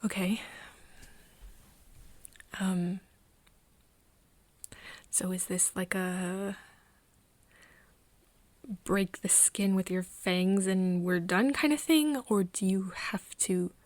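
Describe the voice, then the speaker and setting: A woman playing a nervous, tender young friend of a vampire in an ASMR roleplay, speaking softly and hesitantly, often slipping into a whisper. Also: mid-range voice, no echo. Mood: hesitant, anxious. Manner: hesitant, halting, breathy whispering mixed with soft speech. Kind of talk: ASMR roleplay, intimate one-sided dialogue to listener.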